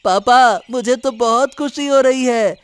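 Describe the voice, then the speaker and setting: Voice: medium pitch, a little slow, clean tone, no echo, lightly acted. A performer playing a carefree, eager young village boy in a cartoon moral story. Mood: cheerful, eager.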